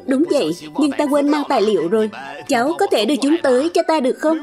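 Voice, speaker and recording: A fussy, forgetful old scientist, voiced by a woman, speaking kindly but a little high for an old man. Music sits under the line. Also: expressive, fast. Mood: asking. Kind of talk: Vietnamese cartoon dub.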